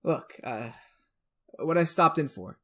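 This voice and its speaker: A man's voice: fairly high pitch, expressive, slow pace, bright, forceful tone, full character voice. A man acting a world-weary, blunt defense lawyer in a retro radio drama, folksy and exasperated. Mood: subdued, evasive.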